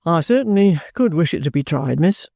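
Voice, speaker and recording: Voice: mid-range voice, lively intonation, a little fast, gravelly, mellow, dry recording, studio quality. A reader voicing a bluff, stubborn ex-soldier in an audiobook, courteous, plain-spoken and offhand. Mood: matter-of-fact, resigned. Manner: respectful, offhand, self-possessed.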